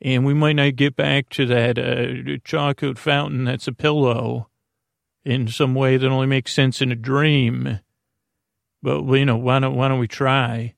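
A man with a creaky, gravel-edged voice rambles soothingly as a sleep podcast host. He halts, circles back and gently repeats himself in a bedtime monologue. The recording is close and dry, with no room sound. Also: mid-range voice, flat delivery, dry recording. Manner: meandering, halting, with gentle repetition.

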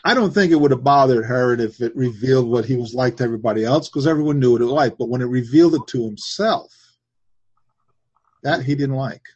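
A man with a New Jersey accent hosting a casual religious talk show, speaking in a hesitant, halting way. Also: medium pitch, breathy edge. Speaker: host. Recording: dull lo-fi sound.

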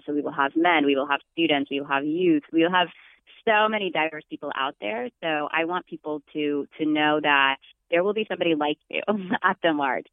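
Woman from Ethiopia, smooth voice, dry tinny phone line, earnest organizer. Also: sits low, no room sound. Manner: earnest, upbeat, with fillers. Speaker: march organizer. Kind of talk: phone interview.

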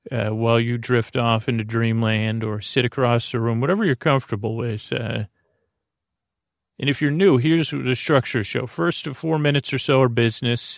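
A man hosting a sleep podcast, talking in a slow, meandering, soothing monologue that often loses its thread, with a creaky voice. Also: mid-range voice, no echo, studio quality. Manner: meandering, self-interrupting, gently soothing. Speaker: podcast host. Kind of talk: slow rambling bedtime monologue.

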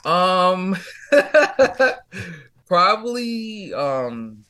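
A man's voice: high voice, sing-song, drawn-out words, bright, forceful tone. Casual American man, pop singer being interviewed. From California, USA. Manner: gushing, informal, with frequent "like" and "you know".

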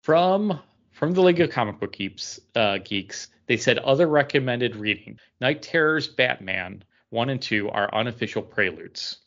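A man's voice: medium pitch, animated, normal speed, fairly bright tone. Casual man podcasting on dull lo-fi audio. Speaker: podcast co-host. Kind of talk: casual chat about comics and beer.

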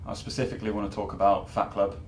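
A man's voice: lowish voice, little pitch movement, very fast, a little mellow, a little hiss or hum. A man with a Glaswegian accent presenting and interviewing as a podcast host.

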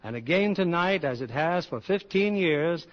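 A male radio host of the 1940s with a fairly high, very animated voice. He introduces and narrates from a script with formal, polished showmanship. The old broadcast sounds a bit dull. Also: moderate pace.

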